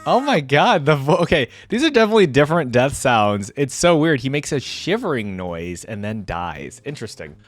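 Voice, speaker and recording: A young man hosts a casual reaction video in a mid-pitched voice with lively swings. He is informal and easily amused, thinking aloud, and the sound is dry and close. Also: moderate pace, hardly any pauses, dry recording.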